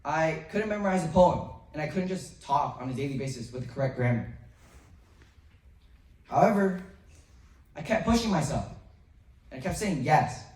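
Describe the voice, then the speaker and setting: A young boy in middle school delivers an earnest memorized speech to his school, pausing between phrases and sometimes stumbling over a restart. His voice sits a little high. He sounds far from the mic, with the echo of a large hall. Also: expressive, darkish tone. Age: young teen, 7th grade middle school student. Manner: earnest, with pauses, some restarts. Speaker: student speaker. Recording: roomy, distant from the mic.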